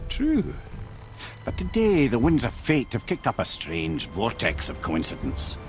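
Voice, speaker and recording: Clever, silver-tongued old sage: lively swings in pitch, middle register, wry boasting, game drama. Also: male voice, a little slow, faint music behind. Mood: wry, explaining. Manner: wry, theatrical, eloquent. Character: witty, boastful, wise captive old male sage.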